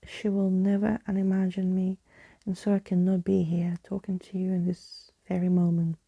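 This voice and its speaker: A woman narrating a story in ASMR style, soft and breathy, often slipping into a whisper, close to the microphone. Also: medium pitch, dry recording.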